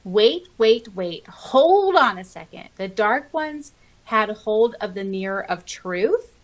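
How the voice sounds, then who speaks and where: A woman with a medium-pitched voice co-hosts a casual fan podcast. She reads comments aloud expressively, with an exclamatory, conversational delivery. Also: big pitch swings, takes it slowly. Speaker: podcast co-host. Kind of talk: casual fan chat, reading out listener comments.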